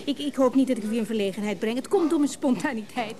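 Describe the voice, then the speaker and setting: An actress playing a rich collector's spontaneous, flirtatious younger wife in a radio play, gushing and teasing. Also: female voice, on the high side, fast, warm tone, lightly acted.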